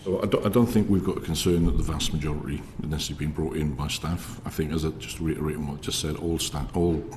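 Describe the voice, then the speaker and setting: A man with a very low, quiet voice giving evidence as a prison official, hesitant and procedural. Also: fairly even intonation, fast talker, hardly any pauses. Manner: hesitant, filler-heavy, procedural. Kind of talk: formal evidence to a committee. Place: parliamentary committee room. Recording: reverberant hall with faint noise.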